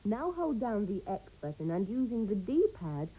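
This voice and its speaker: A woman presenting game cheats with a brisk, haughty manner. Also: medium pitch, very animated, warm tone, husky, rough recording. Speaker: games tips presenter. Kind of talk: comic presenting.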